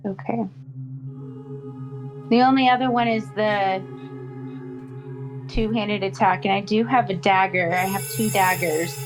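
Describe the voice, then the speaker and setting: A woman's voice: medium pitch, steady tone, measured pace, pauses often, over music. A woman playing a tabletop role-playing game, chatting casually in a tentative, questioning way.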